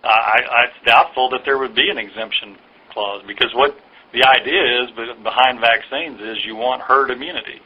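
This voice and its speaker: Male official fielding a phone query, hesitant and patient, middling pitch, dull telephone sound. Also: normal speed, breathy edge. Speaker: official answering a caller's questions. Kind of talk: phone conversation, explanatory. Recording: dull lo-fi recorded phone call.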